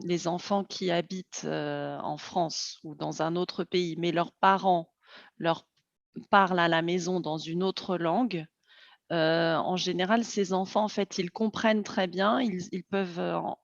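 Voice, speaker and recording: A woman with a lowish voice hosts a slow, carefully paced interview for learners, friendly and full of small hesitations. She sounds as if she is on a video call, with a dull, dry, lo-fi quality. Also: creaky, no room sound. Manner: friendly, with frequent hesitations and light laughs. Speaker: host.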